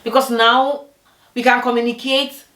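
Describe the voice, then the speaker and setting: A young woman from Ghana telling personal stories to camera in a lively way, acting out what other people said. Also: moderate pace. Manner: animated, acts out quoted people with big pitch jumps. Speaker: vlogger. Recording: echoey room, voice from a distance.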